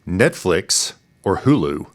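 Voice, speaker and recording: A man hosting a podcast in a casual, easygoing, somewhat halting way. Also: low voice, big pitch swings. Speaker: podcast host. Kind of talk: casual interview and sign-off.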